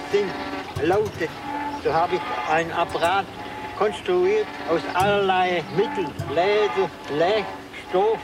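A man explaining a homemade invention in halting, pieced-together phrases. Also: high voice, very noisy.

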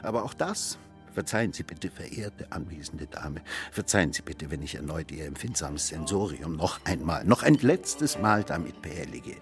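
A man's voice: extremely low pitch, animated, moderate pace, soft background music. An actor playing a world-weary, cynical man who philosophizes in a rambling, theatrical, mock-courteous way in a radio drama. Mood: mocking, bitter. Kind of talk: German radio drama dialogue.

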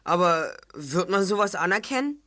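A man's voice: high-pitched, very animated, normal speed, pushed and bright, heavily acted. An actor playing a polite, earnest young male caller in a German audio drama. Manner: courteous, earnest.

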